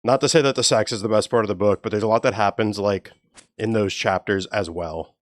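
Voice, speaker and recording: A young man with a low, even voice, chatting casually and swearing freely with his live stream chat. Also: fairly quick, no echo, clean studio sound. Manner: laid-back, profane, joking with chat.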